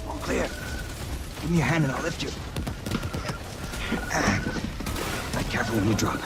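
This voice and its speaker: An actor playing a nosy, wry undercover investigator in an old-time radio drama, asking probing, clipped questions. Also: male voice, mid-range voice, lively intonation, normal speed, close to an everyday voice. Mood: hushed urgency. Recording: old radio recording, loud music behind.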